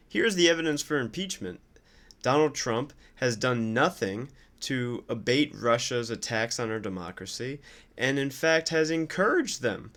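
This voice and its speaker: A man doing casual political reaction commentary, sardonic, incredulous and quick to swear. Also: mid-range voice, fairly bright tone. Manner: sardonic, incredulous, foul-mouthed. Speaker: streamer commenting on news clips.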